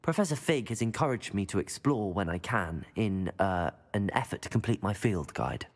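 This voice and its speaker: A man's voice: lowish voice, expressive, normal speed. A man voicing a confident, polite student wizard in a video game, sounding self-assured.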